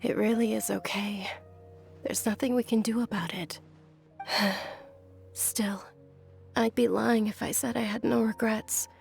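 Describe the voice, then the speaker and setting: An actress playing a selfless, gentle young noblewoman in a video game, with a soft, breathy, reassuring voice. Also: fairly high pitch, fairly even intonation, a little slow, pauses often, soft background music. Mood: wistful. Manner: reassuring, wistful.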